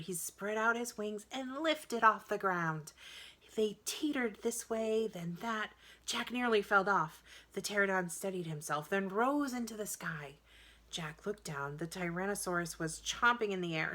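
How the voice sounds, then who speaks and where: A woman reading a children's adventure story aloud in an expressive, dramatic narrating style. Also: mid-range voice, unhurried. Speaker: storybook reader.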